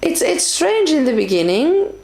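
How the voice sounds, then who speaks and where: A woman's voice: high voice, very animated, moderate pace, vocal fry, a little mellow. A woman from South Africa with an Afrikaans accent, reflecting as an interview guest, often restarting and adding "you know". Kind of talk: conversational interview. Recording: roomy echo.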